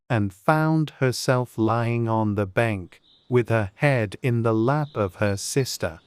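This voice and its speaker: A man's voice: medium pitch, clean tone, dry recording, studio quality. A man narrating a classic storybook as a scripted audiobook.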